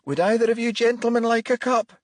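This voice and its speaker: Man voicing a high-pitched, flustered mother. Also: fast, no room sound, clean studio sound. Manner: stern, flustered, fussing over her son. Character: haggard anxious Scottish mother in her early fifties. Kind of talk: audiobook character dialogue.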